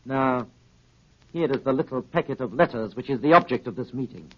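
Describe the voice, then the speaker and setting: An actor playing a shifty, sneering swindler and gang boss in 1930s radio style, brusque and evasive. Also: male voice, medium pitch, animated, a little fast, lightly acted. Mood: guarded. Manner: brusque with underlings, smoothly evasive. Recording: noisy, muffled 1930s radio recording with clicks.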